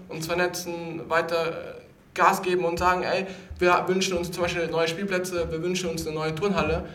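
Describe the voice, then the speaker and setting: A man's voice: a little high, a bit bright. An eighteen-year-old man answering interview questions as a youth council member in an earnest, emphatic manner. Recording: roomy echo.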